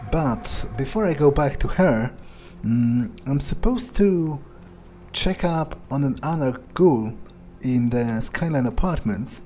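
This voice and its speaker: An aloof, arrogant vampire, role-played by a man in a video game playthrough. He muses slowly and haltingly in a deadpan, mellow voice, with dry amusement. Faint music sits behind him. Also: mid-range voice. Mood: dryly amused. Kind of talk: in-character video game playthrough, thinking aloud.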